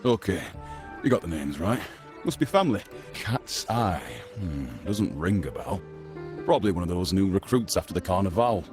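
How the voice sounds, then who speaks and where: A senior mafia agent in a game, really low voice, kinda dismissive and patronizing, music playing behind. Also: male voice, expressive, normal speed. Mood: dismissive, puzzled. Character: senior family agent, plain-spoken, patronizing old hand. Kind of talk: scripted video game dialogue.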